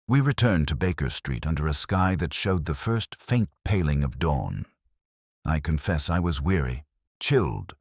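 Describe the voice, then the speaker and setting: A man reading scripted first-person mystery narration in a measured, literary storytelling manner. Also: very deep voice, dry recording, clean studio sound. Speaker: audiobook narrator.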